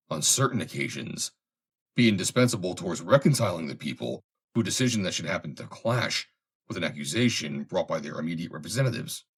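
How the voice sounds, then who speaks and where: A man reading a formal historical essay aloud in a measured, deliberate way. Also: low voice, husky. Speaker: reader, narrator.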